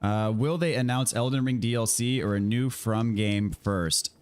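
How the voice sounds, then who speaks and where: A man with an American accent chatting casually to viewers while he plays a video game, his voice a little raspy from a scratchy throat. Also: mid-range voice, moderate pace, no room sound. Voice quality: slightly raspy. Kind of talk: casual livestream chat answering viewers while gaming.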